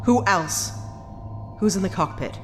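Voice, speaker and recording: A woman's voice: mid-range voice, animated, measured pace, faint music behind, lightly acted. A woman playing a bossy, exasperated, trigger-happy spaceship captain, curt and impatient, in a sci-fi audio drama.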